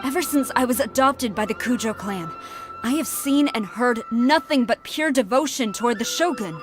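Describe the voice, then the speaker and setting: A woman's voice: fairly high pitch, lively intonation, moderate pace, soft background music, natural voice. A woman playing a stern, proud, loyal army general in an anime video game, formal and commanding. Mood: indignant.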